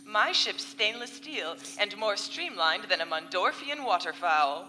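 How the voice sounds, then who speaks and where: A woman's voice: medium pitch, sing-song, a little slow, pushed and bright, slight background noise. A woman playing a scheming, haughty space villainess in a live comedic radio serial, theatrical and biting. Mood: grandiose, urgent. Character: scheming female space villainess, haughty, exasperated arch-nemesis. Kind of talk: live comedic sci-fi radio play in 1940s serial style. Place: convention hall stage before live audience. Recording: reverberant live hall, faint music.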